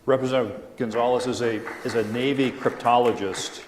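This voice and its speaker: A man moderating a panel, asking measured, formal questions. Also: mid-range voice, steady tone, slight background noise. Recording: echoey hall with long reverb.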